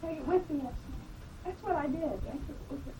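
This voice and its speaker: A woman with a high, childlike voice chats away, asking one curious question after another and filling the gaps with little interjections. The mic sits some distance from her, and steady background noise runs under everything. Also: fairly quick. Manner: chatty, curious, lots of interjections. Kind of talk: casual chat.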